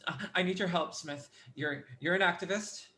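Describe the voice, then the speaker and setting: A woman's voice: on the low side, moderate pace, sharp tone. A woman playing a desperate, self-absorbed teacher in a play reading, urgent and insistent, stumbling over words. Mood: desperate, impatient.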